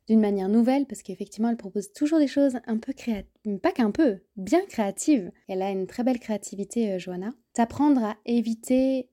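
A woman's voice: medium pitch, warm tone. A woman hosting a podcast, interviewing a guest and explaining things fluently in a chatty way, restarting now and then as she translates on the fly. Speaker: podcast host.

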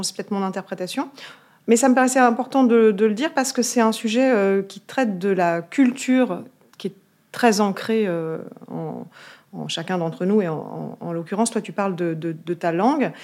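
A woman's voice: medium pitch, darkish tone. A woman interviewing a guest, phrasing her questions in a searching way with many restarts. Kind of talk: interview conversation.

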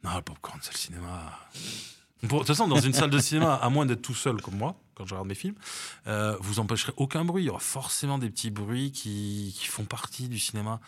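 A man's voice: fairly low pitch, expressive, brisk. A middle-aged man from France chatting in an interview, hesitant, with many fillers and restarts. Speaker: cinema manager, interview guest. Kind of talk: casual interview chat. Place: outdoors on a seafront promenade.

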